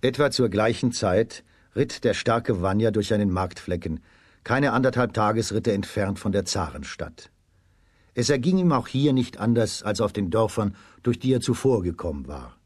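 A man narrates a children's fairy tale from a script. His voice is low and husky, recorded very dry and close. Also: lively intonation, moderate pace, darkish tone, no echo.

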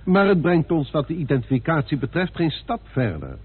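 A man's voice: on the high side, very animated, a little fast, slightly rough. An actor playing a sober, shrewd male police inspector in a radio play. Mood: sober, pointed. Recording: muffled old radio recording.

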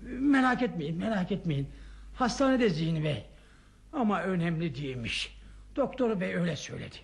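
An actor playing an anxious, kindly old gentleman in a radio play, polite and flustered, often repeating himself. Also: high voice, sing-song, moderate pace, vocal fry, exaggerated voice. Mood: anxious, soothing. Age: older. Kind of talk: radio theater acting.

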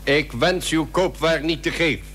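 An actor playing an imperious, threatening Barbary potentate in a period radio drama, with commanding, archaic formal speech. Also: male voice, fairly high pitch, moderate pace, fairly bright tone, close to an everyday voice. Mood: haughty, haggling. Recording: noisy old radio recording with hum.

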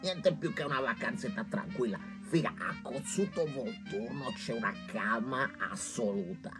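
An actor playing a cynical, self-satisfied young doctor with a Milanese accent, wheedling and slangy in a radio comedy. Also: male voice, mid-range voice, lively intonation, normal speed, over music, close to an everyday voice. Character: pleading, cynical, foppish young northern doctor. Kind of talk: theatrical radio comedy acting.

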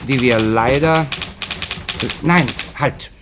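An actor playing a brisk, curious magazine editor in a radio drama, abrupt and musing in manner. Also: male voice, mid-range voice, noisy background. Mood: distracted, musing.

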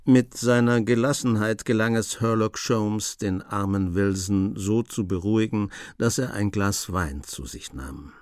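A man's voice: fairly low pitch, a little slow, hardly any pauses, no echo, clean studio sound. A man narrating a radio crime drama in a scripted storytelling style.

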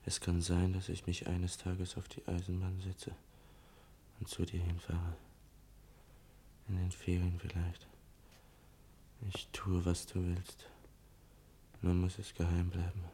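An actor playing an earnest, troubled son who confides haltingly in an absent mother, in a German radio play. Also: completely flat delivery, warm tone. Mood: troubled, musing. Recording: old radio recording with rumbling noise.

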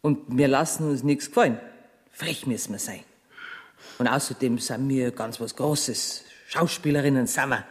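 A woman's voice: rumbling low, normal speed. A haughty woman with a Bavarian accent in a radio drama. She is brazen and imperious, ordering people about as if she were a grand stage star. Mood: brash, scheming. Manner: bossy, imperious. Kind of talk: 1970s German radio play acting.

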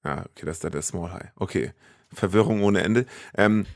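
Dry-sounding, lower-voiced man, playful podcast co-host. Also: normal speed, gravelly, no room sound. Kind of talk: casual podcast chat.

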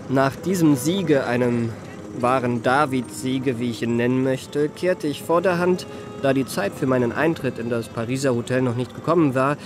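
A man acts out a young gentleman swindler telling his own story in a literary radio drama. His medium-pitched voice delivers ornate, formal phrases with a mannered, self-satisfied polish. Music plays clearly behind him. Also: lightly acted. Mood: self-regarding, lofty. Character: elegant young male confidence trickster recounting his life. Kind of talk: literary first-person radio play narration.

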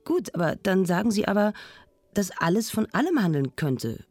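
An anguished, searching intellectual in a radio play talk show. The voice is creaky and husky, swinging in pitch as it presses each point. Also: big pitch swings, moderate pace, darkish tone, dry recording. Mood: probing, insistent. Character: searching, anguished culture programme discussant, intellectual and insistent. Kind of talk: radio drama played as studio discussion.

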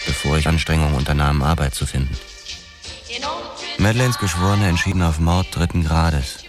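An actor playing a world-weary, disgraced police detective, narrating his downfall in a radio drama with sober, measured resignation. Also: extremely low pitch. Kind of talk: scripted first-person radio drama narration. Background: music.

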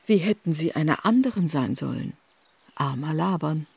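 Husky, lowish female literary narrator. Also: animated, moderate pace, a little mellow. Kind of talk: literary scripted narration for radio drama.

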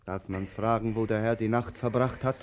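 An actor playing a ponderous, talkative male tramp in a radio drama, soft-voiced, ruminative and declamatory. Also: fairly low pitch, steady tone, normal speed, slight background noise, natural voice. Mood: fussy, reproachful. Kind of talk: theatrical radio drama acting.

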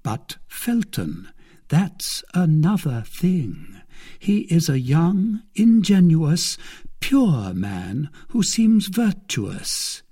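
A man reading an audiobook, voicing a scheming, desperate captive noblewoman in an intense, slow, seething way. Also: a little high, very animated, warm tone, breathy edge, voice pushed up, exaggerated voice.

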